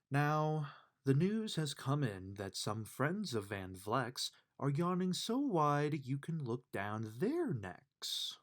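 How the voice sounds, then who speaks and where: Man reading a storybook, slow and very expressive. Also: mid-range voice. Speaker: storybook reader. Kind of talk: reading a rhyming children's bedtime book aloud.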